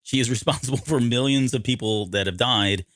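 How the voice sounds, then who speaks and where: A man's voice: medium pitch, brisk, a bit bright, no room sound, studio quality. A man co-hosting a podcast, talking through a story casually and often correcting himself as he explains. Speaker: podcast co-host. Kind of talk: casual discussion of an audio drama.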